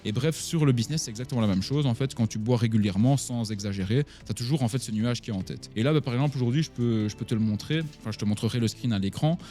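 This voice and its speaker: A man's voice: mid-range voice, quick pace, few pauses, warm tone, faint music behind. A man in his twenties with a Belgian French accent, talking casually and directly to his viewers about his own life. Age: 20s. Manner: chatty, addresses the listener directly, many fillers. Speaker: online entrepreneur and vlogger. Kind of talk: casual talk to camera.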